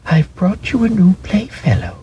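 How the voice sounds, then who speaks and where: A man voicing a kindly female nursery fairy in a storybook reading, with a breathy half-whisper and a slow, tender, reassuring manner. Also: high-pitched, huge pitch swings, warm tone, dull audio, a little hiss or hum, voice pushed up.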